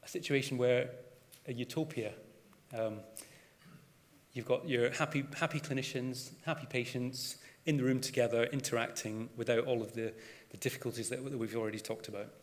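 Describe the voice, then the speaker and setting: Scottish male GP, conversational presenter, mid pitch, big reverberant hall. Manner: conversational, with frequent restarts and fillers. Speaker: GP and conference speaker. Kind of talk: conference presentation. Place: large conference hall.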